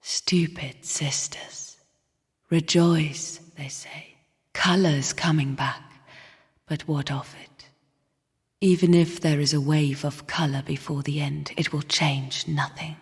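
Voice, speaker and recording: An actress playing a bleak, bitter female spirit in a video game, speaking in a breathy, husky whisper with a hushed, cryptic, scornful manner. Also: deep voice, fairly even intonation, takes it slowly, full character voice. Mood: bitter, weary. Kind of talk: video game character monologue. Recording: long reverberant hall echo.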